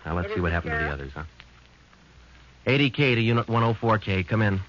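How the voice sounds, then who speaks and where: Male actor: hard-boiled detective narrator, deep and clipped, old radio sound. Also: fast, crowd noise, natural voice. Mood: flat. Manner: flat, clipped, matter-of-fact delivery. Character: terse male police sergeant narrating his case. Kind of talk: 1940s radio drama acting. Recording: muffled, hissy old radio broadcast.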